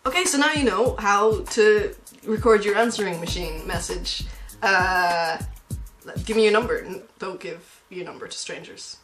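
A woman's voice: medium pitch, normal speed, a bit bright, faint music behind. A woman in her twenties talking in a casual, playful, self-mocking comic vlog style, recorded at home. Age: 20s. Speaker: comedy vlogger. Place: small room at home. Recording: roomy home webcam sound.

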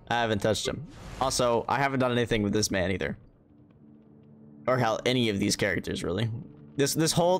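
Animated casual male game streamer. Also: mid-range voice. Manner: casual, profane, self-deprecating. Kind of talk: casual livestream gameplay chat. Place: indoor room, streaming setup. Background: game music.

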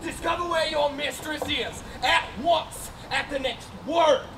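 A man's voice: very high voice, a little slow. A man playing a sneering, vengeful young prince. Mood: threatening. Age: young adult. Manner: sneering, threatening, bursts into shouts and mocking laughs. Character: arrogant spoiled young prince, vengeful and bullying. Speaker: stage actor. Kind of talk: live stage Shakespeare acting. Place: large theater stage. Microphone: distant room mic. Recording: distant stage sound with noise.

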